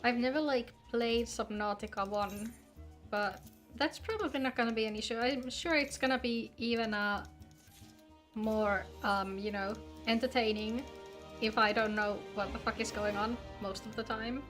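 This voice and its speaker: A woman's voice: on the high side, moderate pace, fairly bright tone, soft background music. A woman streaming a video game, chatting casually and playfully with her viewers, informal and free with swearing. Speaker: live streamer playing an online game. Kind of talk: casual gaming livestream chat.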